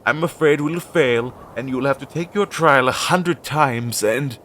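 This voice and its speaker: A man's voice: measured pace, fairly bright tone, natural voice. A man playing an anxious, insecure but tender crow familiar in an audio roleplay, talking to the listener in a hesitant, earnest way. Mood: distressed, pleading. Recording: clearly noisy low-quality recording.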